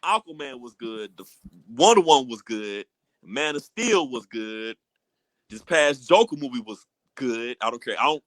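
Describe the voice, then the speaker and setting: American guy on a podcast, lively up-and-down pitch, punchy bright voice, slangy, dry sound. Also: normal speed, dry recording, rough recording. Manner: emphatic, slangy, repeats himself. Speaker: podcast co-host. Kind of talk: casual banter about comic book films.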